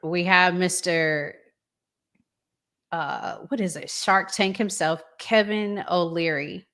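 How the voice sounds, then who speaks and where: Slow-paced woman hosting a casual discussion. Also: lowish voice, fairly even intonation, fairly bright tone. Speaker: talk show host.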